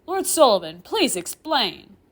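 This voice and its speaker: A woman's voice: mid-range voice, fairly quick, natural voice. A woman voicing a proud, stern, easily offended male demon professor with a formal, indignant manner in a story.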